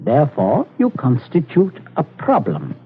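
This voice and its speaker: A man's voice: mid-range voice, huge pitch swings, measured pace, a little hiss or hum. Cold, commanding father on muffled radio drama. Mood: cold, menacing. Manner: clipped, matter-of-fact, commanding. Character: cold, domineering father calmly coercing a man at gunpoint. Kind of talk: old-time radio drama acting.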